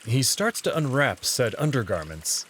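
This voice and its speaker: A man's voice: medium pitch, sing-song, normal speed, fairly bright tone, slight background noise. A man narrating a visual novel in the first person, reading it as a story.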